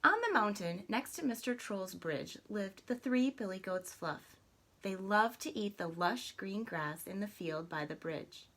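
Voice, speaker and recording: A woman reading a children's story aloud in an expressive, deliberate, dramatic way. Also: mid-range voice. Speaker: storybook reader.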